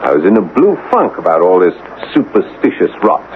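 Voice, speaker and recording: An actor playing a cocksure, scoffing British army major in colonial India in a radio mystery drama. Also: male voice, low-pitched, wildly swooping pitch, brisk. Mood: scoffing, defiant. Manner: blustering, dismissive. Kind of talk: old-time radio mystery acting. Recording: tinny, noisy old radio broadcast.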